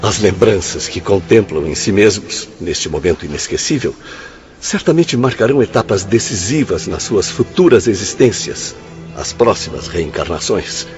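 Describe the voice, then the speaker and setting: A man's voice: low voice, very animated, normal speed, a little mellow. A man acting a solemn spirit teacher in a radio drama, didactic and oratorical. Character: solemn male spirit teacher addressing suicides. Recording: dull lo-fi audio, faint music.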